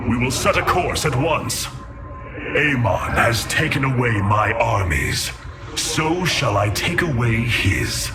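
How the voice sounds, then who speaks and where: A grave alien war leader, declaims in formal, solemn speech that swings widely in pitch. Loud music plays behind this game dialogue. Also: male voice, very animated, slow. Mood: urgent, commanding. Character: noble alien warrior commander, grave, resolute leader. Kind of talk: dramatic video game acting. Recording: loud orchestral score behind.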